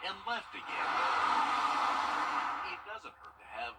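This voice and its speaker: A man's voice: a little high, wildly swooping pitch, measured pace, heavy background noise. A man narrating a news feature as a reporter, in a scripted storytelling delivery.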